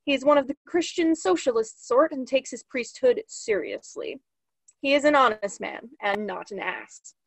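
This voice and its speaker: A woman's voice: high-pitched, lively intonation, moderate pace, vocal fry, no room sound. A young woman reading stage directions as scripted narration. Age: young adult. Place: small lit room at home, on a video call.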